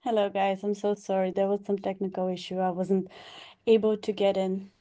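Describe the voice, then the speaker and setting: Young woman, monotone delivery, mellow tone, hesitant with lots of fillers, video call audio. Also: medium pitch, normal speed. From Bulgaria. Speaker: past delegate and graduate scholar. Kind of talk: online information session talk.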